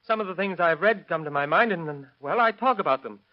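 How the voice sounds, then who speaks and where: Man playing a small insecure man, high voice, self-justifying, vintage radio drama, muffled recording. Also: expressive, fast, lightly acted. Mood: meek, pleading. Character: timid henpecked little man, boastful and defiant when drunk. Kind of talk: 1930s radio drama acting.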